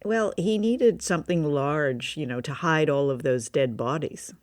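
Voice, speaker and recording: A woman's voice: low voice, a little slow, darkish tone, dry recording, clean studio sound. A woman co-hosting a podcast, chatting in an amused, conversational way about an old radio play. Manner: chatty, amused, asks questions. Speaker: podcast co-host. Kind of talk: casual review chat.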